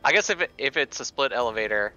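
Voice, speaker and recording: A man's voice: medium pitch, expressive, fast, bright, forceful tone, lo-fi sound, faint music behind. A man chatting casually as a player at a tabletop game, tentatively thinking aloud.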